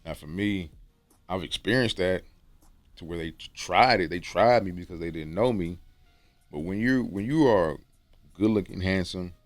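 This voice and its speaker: Deep-voiced man giving loose, slangy advice. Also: moderate pace, no room sound, faint music behind. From Texas. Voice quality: deep resonant voice. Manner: loose, rambling, slangy advice with frequent "okay?". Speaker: dating and self-improvement vlogger. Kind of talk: casual talk to camera. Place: room with books on shelves.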